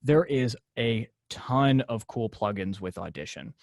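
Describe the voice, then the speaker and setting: A man with a gravelly edge to his voice walks a class through software over a video call. His talk is casual and full of fillers. The sound is dry and close. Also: medium pitch, lively intonation, unhurried, no echo. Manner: casual, filler-heavy explaining. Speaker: class instructor.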